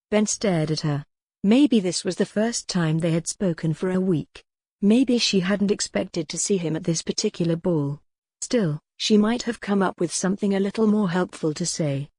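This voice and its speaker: A woman's voice: fairly low pitch, dark tone, no room sound. A woman reading scripted narration from a historical romance novel as an audiobook narrator.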